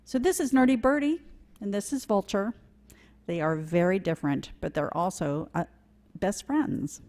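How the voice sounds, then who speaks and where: A woman reading a children's story aloud during a church service, slow and expressive. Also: medium pitch.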